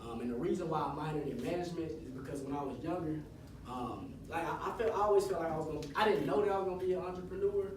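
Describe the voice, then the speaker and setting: Man in his twenties, slightly high voice, casual motivational speaker, echoey hall, distant mic, room noise. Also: quick pace. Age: 20s. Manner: casual, encouraging, leads call-and-response chants. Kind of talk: motivational talk to students. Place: school hall with students.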